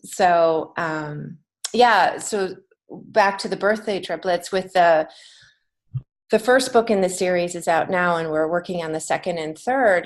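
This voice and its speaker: A middle-aged American woman speaking as an interview guest, telling stories conversationally in an earnest, emphatic way. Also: fairly low pitch. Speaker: podcast guest, children's author and teacher.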